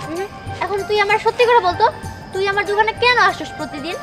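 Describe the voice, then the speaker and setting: Casting: woman as suspicious, bossy female shopkeeper for comedy cartoon, piercingly high voice, scolding delivery, loud music behind. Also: quick pace. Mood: accusing. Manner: scolding, confrontational. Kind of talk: Bengali comedy cartoon dubbing.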